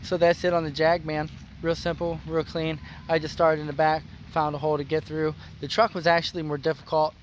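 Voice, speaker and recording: A man hosting a car vlog outdoors, explaining his install in a plain-spoken, folksy way, his voice a bit hoarse. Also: a little high, little pitch movement, a little fast, slight background noise. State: slightly hoarse. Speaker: car customizing channel host. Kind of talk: casual how-to vlog.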